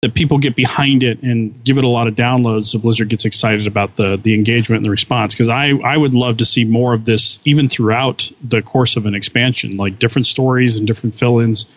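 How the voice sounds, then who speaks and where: A man's voice: mid-range voice, fairly even intonation, brisk, few pauses, fairly bright tone. A man co-hosting a casual podcast, talking with enthusiasm and often restarting his sentences. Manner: enthusiastic, rambling with frequent restarts. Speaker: podcast co-host.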